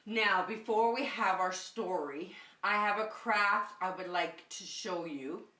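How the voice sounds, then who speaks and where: A woman's voice: mid-range voice, takes it slowly, pushed and bright. A woman leading children's circle time, talking simply and encouragingly to young children as a teacher does. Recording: roomy, echoey, distant microphone.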